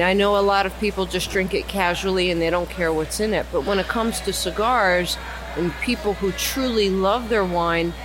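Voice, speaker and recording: A woman talking casually and explanatorily as part of a podcast panel, recorded in a lounge. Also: medium pitch. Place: cigar lounge. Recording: clearly noisy lounge recording.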